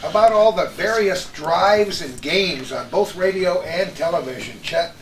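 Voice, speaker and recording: Male host in his sixties, higher-pitched, casual reminiscing, recorded off-mic in a roomy space. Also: measured pace. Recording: roomy, distant mics, faint noise.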